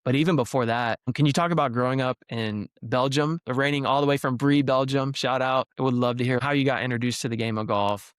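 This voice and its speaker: A man's voice: medium pitch, fairly even intonation, fairly quick, few pauses, smooth, dry recording, studio quality. A young man hosting a podcast interview in an effusive, enthusiastic way, hyping up his guest. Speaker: podcast host interviewing athletes.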